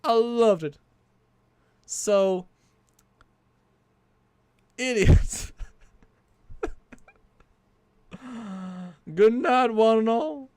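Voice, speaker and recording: A huffy male puppet and washed-up Shakespearean ham, as a man puts him on, talking in a fake Southern colonel drawl. He swings up and down in pitch, sits well above the performer's usual voice and drags his words out slowly. He is theatrical, insulting and full of self-pity, and the sound is dry and close. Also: lively intonation, a little mellow, clear, resonant tone, no room sound, full character voice. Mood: blustering. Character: grumpy male puppet, ex-Shakespearean actor turned chicken colonel. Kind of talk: comic puppet bit on a livestream.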